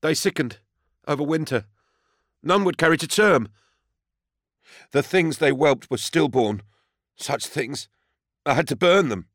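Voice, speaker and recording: A man's voice: on the high side, animated, a little slow, pauses often, no room sound, clean studio sound, voice pushed up. A man voicing a frightened, cringing farmer on trial in an audiobook, deferential, anxious and pleading as he answers. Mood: desperate. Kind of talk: audiobook character acting.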